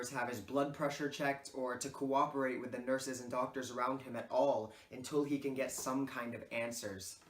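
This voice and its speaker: A man giving a formal, earnest scripted narration as presenter of a reader's theater piece. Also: mid-range voice. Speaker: presenter of a literature class project. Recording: roomy echo.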